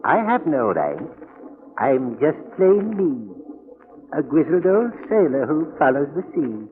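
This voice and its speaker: An actor playing a grizzled old sailor who speaks cryptically in sing-song rhymes, in 1940s radio style. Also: male voice, a little high, big pitch swings, unhurried. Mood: cryptic, polite. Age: older. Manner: cryptic sing-song rhyming, archaic wording. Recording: tinny, band-limited 1940s radio recording.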